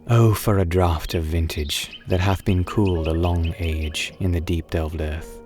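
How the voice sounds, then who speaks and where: Deep, slow-voiced man reading poetry. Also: steady tone, a little mellow. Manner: solemn, measured, wistful delivery with drawn-out phrases. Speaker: poetry reader and narrator. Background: music and birdsong.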